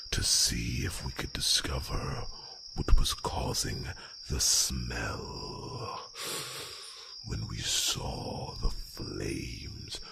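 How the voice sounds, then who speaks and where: A man playing a grave, slightly grumpy old dragon in a fantasy roleplay audio drama, speaking slowly and solemnly in a breathy rasp. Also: very deep voice, exaggerated voice. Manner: slow, halting, solemn delivery. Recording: loud noise bed of night crickets.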